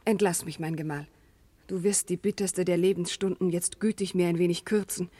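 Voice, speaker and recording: A woman's voice: lowish voice, fairly even intonation, normal speed, dark tone, dry recording, natural voice. Anguished noble wife played by a woman, dry studio sound. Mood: despairing plea. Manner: impassioned, declamatory. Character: anguished noble wife, proud, horrified, deceived. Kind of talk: classical verse radio drama acting.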